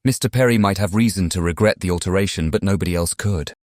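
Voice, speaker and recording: A man acts out a gallant young gentleman of Regency England with a low British voice. He is polite, eager and persuasive, in a period audiobook drama with very little room sound. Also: fast, no room sound, studio quality, lightly acted. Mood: politely persuasive. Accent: British English.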